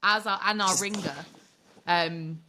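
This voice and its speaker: A woman's voice: mid-range voice, fairly even intonation, a little slow, sharp tone, a little hiss or hum. A woman in her thirties from England, a sports broadcast journalist chatting casually as a podcast guest, self-deprecating and laughing often, with lots of "like" fillers.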